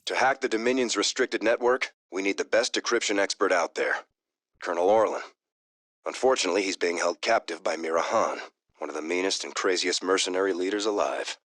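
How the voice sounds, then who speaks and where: Deep-voiced resolute officer. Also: male voice, a little fast, fairly bright tone, no room sound, studio quality. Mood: serious. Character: earnest, resolute male starship officer. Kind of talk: video game cinematic acting.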